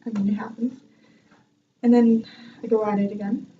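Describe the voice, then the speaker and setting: A woman's voice: mid-range voice, little pitch movement, normal speed, mellow, far from the mic, slight background noise. A young woman telling a self-mocking story from her music class in a chatty vlog, laughing often. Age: young adult. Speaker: vlogger and music student. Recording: dull lo-fi sound from off-mic.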